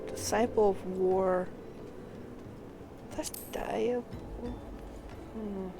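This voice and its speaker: Slow-paced woman streaming a game. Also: medium pitch, fairly even intonation, music in the background. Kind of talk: gameplay walkthrough, reading quest text aloud.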